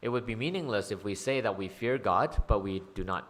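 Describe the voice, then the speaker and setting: A man's voice: fairly low pitch, a little fast, a bit bright. A man interpreting a sermon into English, hesitant, with frequent ums and uhs. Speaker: church interpreter.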